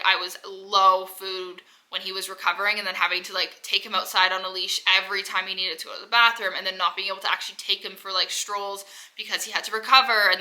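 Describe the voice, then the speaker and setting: Woman, 20s, vlogger. Very bright voice, stuffy with a cold, rambling casual delivery full of "like". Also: mid-range voice, rough recording. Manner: rambling, heavy use of "like". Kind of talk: casual personal vlog.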